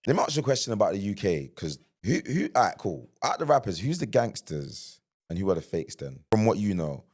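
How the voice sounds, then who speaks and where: A man's voice: fairly low pitch, sing-song, fairly quick, darkish tone. A man hosting a podcast in casual, informal slang, putting questions to a guest. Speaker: podcast host. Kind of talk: casual chat.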